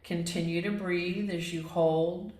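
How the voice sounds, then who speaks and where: A woman leading a guided yoga relaxation as the instructor, speaking in a gentle, measured, inviting way. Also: fairly low pitch, steady tone. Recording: echoey, reverberant room.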